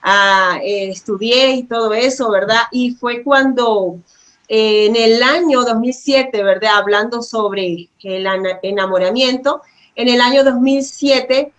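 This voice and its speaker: A woman's voice: a little high, slow, a bit bright. A woman, a pastor's wife and program guest, sharing personal testimony in a courteous, devout, conversational way with frequent tag questions. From Venezuela.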